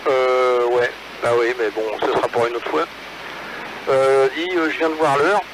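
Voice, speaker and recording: A man's voice: medium pitch, steady tone, normal speed. A man talking casually and colloquially as an amateur radio operator, with hesitations, over a two-way radio from a car. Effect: two-way radio transmission. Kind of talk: casual radio chat between hams. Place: in a car, mobile. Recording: noisy ham radio relay transmission. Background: radio and vehicle noise.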